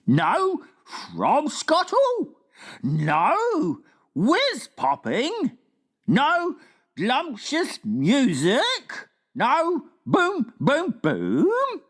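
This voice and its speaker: Brief: bumbling good-natured giant read by a woman, raised slow husky voice, mangled words. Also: mid-range voice, wildly swooping pitch, pitched above the performer's own voice, full character voice. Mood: exclaiming. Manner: mangled words, drawn-out exclamations. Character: big friendly male giant, clumsy, cheerful. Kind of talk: storybook reading.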